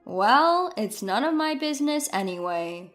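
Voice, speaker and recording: A woman reading a scripted first-person story in a dramatic, expressive way. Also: on the high side, unhurried, soft background music. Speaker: story narrator.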